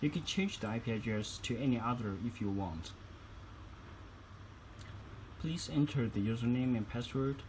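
A man's voice: medium pitch, animated, normal speed, pauses often, darkish tone, slight background noise, poor audio. A man giving instructional tutorial narration as a product demonstrator, phrasing each step carefully.

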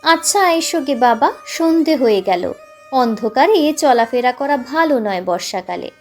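A woman voicing a brisk, questioning village aunt in a narrated story. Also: high voice, expressive. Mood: kindly dismissive. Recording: music playing behind the voice.

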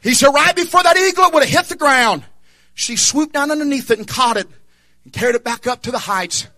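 A young man preaching a fervent revival sermon, shouting and half-singing his lines with calls of amen and hallelujah. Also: extremely high pitch, animated, a little fast. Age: young adult.

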